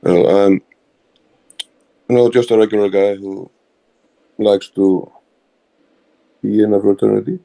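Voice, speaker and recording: A man's voice: lowish voice, fairly even intonation, a little slow, creaky, long pauses, warm tone, low-quality recording. A man from Iceland with an Icelandic accent, giving plain, hesitant answers as an interview guest. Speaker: interview guest, fraternity member.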